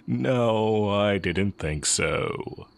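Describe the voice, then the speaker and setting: A man acting as a sly, coolly teasing heretic magic teacher in an intimate audio roleplay, musing and taunting with drawn-out pauses. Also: on the low side, animated, vocal fry, full character voice. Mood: teasing, suspicious.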